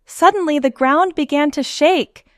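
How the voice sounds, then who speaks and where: A woman's voice: high voice, measured pace, dry recording, clean studio sound. A woman reading a storybook aloud for young children, expressively and in simple phrases, as the host. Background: children's music with jingles.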